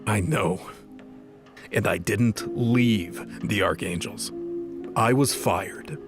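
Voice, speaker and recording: A man's voice: on the low side, slow. A man playing a bitter, foul-mouthed, hot-tempered fallen archangel in an audio drama, cynical and combative in manner. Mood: sullen. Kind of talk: dramatized audio fiction acting. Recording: music behind the voice. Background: music.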